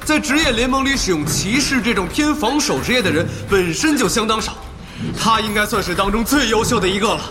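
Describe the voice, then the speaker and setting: A man's voice: sits high, expressive, a little fast, big-room sound, music in the background. A man playing a thoughtful esports analyst in an audio drama, explaining the play in a considered way. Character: measured male esports pundit, explanatory and thoughtful. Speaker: guest analyst.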